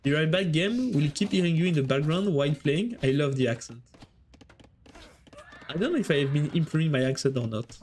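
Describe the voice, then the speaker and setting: A man's voice: a little high, expressive, brisk, a little mellow, a little hiss or hum. A man with a French accent casting a strategy game on a live stream, chatting with viewers between plays. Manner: chatty, answers chat while casting.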